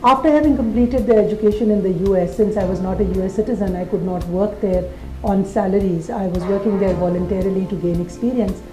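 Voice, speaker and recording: Pakistani woman with mellow, roomy voice. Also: medium pitch, soft background music. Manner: measured, listing points in long builds. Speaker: school founder. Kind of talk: documentary interview.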